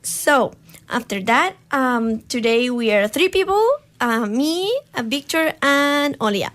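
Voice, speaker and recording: A woman's voice: a little high, animated, slow pace, a bit bright. Upbeat young woman hosting radio. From Costa Rica. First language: Spanish. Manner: upbeat, hesitant with many fillers and restarts. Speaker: radio host. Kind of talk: live community radio chat. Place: radio studio. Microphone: studio microphone.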